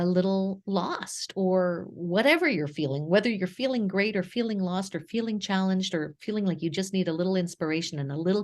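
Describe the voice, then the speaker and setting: A woman in her fifties with a French Canadian accent, a native French speaker, giving an effusive motivational talk as a guest speaker. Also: lowish voice. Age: 50s.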